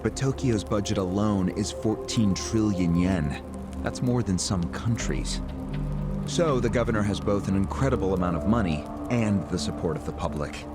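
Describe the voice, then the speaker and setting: Man playing a smug, low-voiced political schemer. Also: normal speed, over loud music, lightly acted. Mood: smug, lecturing. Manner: smug, explanatory, chuckling. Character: smug, calculating male political schemer and activist leader. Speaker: character in a crime drama video game. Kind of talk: dramatic video game cutscene acting.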